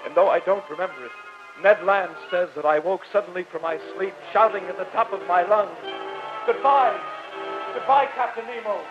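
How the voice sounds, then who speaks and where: An actor playing an earnest, anxious captive professor in an old radio drama, with a dramatic, urgent delivery. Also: male voice, sits high, moderate pace, natural voice. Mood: haunted, urgent. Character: earnest, anxious male professor, a captive narrating his escape. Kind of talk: 1940s radio drama acting. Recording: dull old radio recording, music behind.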